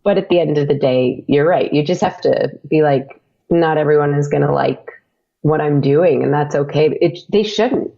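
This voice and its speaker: A woman's voice: low voice, normal speed, gravelly, dark tone. A young woman, a podcast creator and actor, chatting casually as a guest and laughing often between fillers. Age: young adult. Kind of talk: casual interview chat. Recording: dull lo-fi sound.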